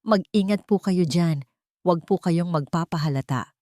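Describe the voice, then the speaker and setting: A woman's voice: on the low side, normal speed, warm tone, dry recording, close to an everyday voice. A loyal old manservant, as a woman narrator reads him in an audio drama. He speaks formally and protectively, in a dry, close recording. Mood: grave, dutiful. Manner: formal, respectful. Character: loyal old male family steward, deferential and protective.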